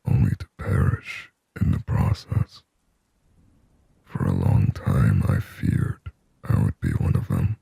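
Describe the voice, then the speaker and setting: A man voicing a lonely, possessive demon in a slow, intimate ASMR roleplay, whispering breathily and raspily close to the microphone with halting, brooding phrasing. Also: a bass voice, warm tone, no room sound, full character voice. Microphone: very close, dry microphone.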